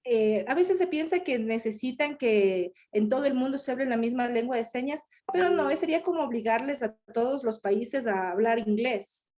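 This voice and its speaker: High, mellow-toned interpreter. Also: female voice, expressive, moderate pace, few pauses. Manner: explanatory, repeating points in short phrases. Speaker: sign language voice interpreter. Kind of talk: live voicing of a signed talk. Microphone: video call.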